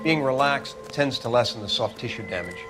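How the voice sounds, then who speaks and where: A male actor as a 1940s police medical examiner in a detective game. His mid-range voice is dry, clinical and unruffled. Music plays clearly underneath. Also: mid-range voice, expressive, brisk, lightly acted. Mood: dry, measured. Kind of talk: video game acting, 1940s police drama.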